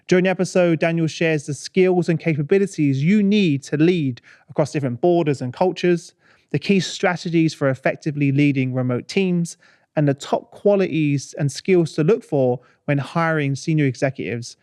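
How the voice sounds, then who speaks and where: A man's voice: fairly high pitch, moderate pace, a little mellow, no room sound. A man hosting a studio podcast, upbeat and chatty, laughing often. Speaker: podcast host. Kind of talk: podcast interview.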